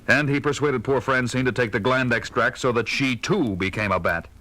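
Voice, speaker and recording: An actor playing a stern, heroic masked crime fighter, grave and declamatory in a 1970s superhero record drama. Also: male voice, mid-range voice, normal speed, natural voice, low-quality recording. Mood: grave, narrating. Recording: loud music bed under voice.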